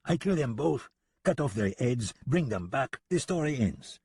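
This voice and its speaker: A man's voice: mid-range voice, very animated, unhurried, vocal fry, darkish tone, breathy edge, pitched above the performer's own voice, heavily acted. A man voicing a mocking, sly French gunman with a French accent in a western audiobook. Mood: sly, boastful. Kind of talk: western audiobook character acting.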